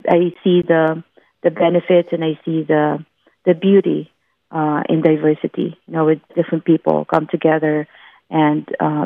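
A woman answering an interview question, halting with fillers. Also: deep voice, vocal fry, dry recording. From Philippines. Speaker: company co-owner interviewed. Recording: tinny phone-like line.

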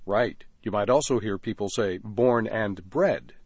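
A man teaching and co-hosting a scripted radio language lesson, explaining clearly and patiently into a studio mic. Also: fairly low pitch, measured pace, darkish tone, no room sound. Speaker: teacher and co-host.

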